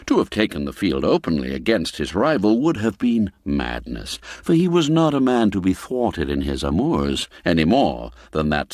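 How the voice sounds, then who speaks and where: A man's voice: on the low side, no echo, studio quality. A man narrating a classic tale in a theatrical, dramatic manner. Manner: theatrical, dramatic swings in emphasis. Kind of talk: scripted storybook narration.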